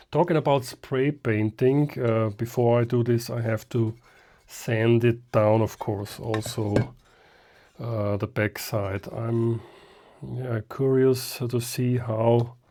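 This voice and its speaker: A man talks through a hands-on hobby project in a soft-edged, mellow voice. He takes his time, speaking slowly and hesitantly. The delivery is casual and unscripted, as if he is thinking aloud while he works. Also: medium pitch. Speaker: hobbyist host of a restoration video. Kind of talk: casual unscripted how-to commentary while working.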